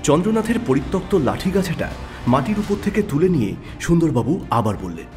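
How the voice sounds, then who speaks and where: A man's voice: on the high side, very animated, a little fast, music in the background. A man giving dramatic scripted narration of a detective story. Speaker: narrator who also reads the detective.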